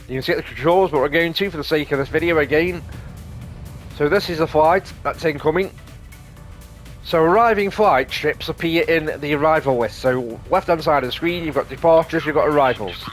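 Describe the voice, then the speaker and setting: A man's voice: a little high, normal speed. A man hosting a gaming video, casually explaining play and reading tutorial text aloud in a rambling, explanatory way. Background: light game music.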